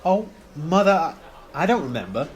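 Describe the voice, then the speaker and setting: A man's voice: fairly high pitch, very animated, moderate pace. A man acting a polite, uneasy young son in a radio play, speaking in a hesitant, placating way. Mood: polite, reluctant.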